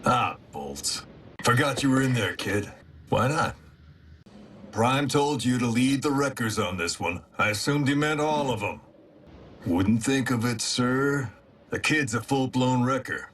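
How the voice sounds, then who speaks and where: A man voicing a cocky, battle-hardened alien robot warrior in an animated action series, wry, swaggering and laconic, with a brash wisecracking streak. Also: medium pitch, a little slow, slight background noise. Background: music.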